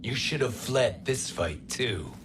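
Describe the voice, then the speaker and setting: An actor playing a noble, wise god in a video game, speaking in a formal, measured and earnest way. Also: male voice, medium pitch, animated, heavy background noise. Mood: defiant. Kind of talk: dramatic video game acting. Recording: dramatic music behind the voice.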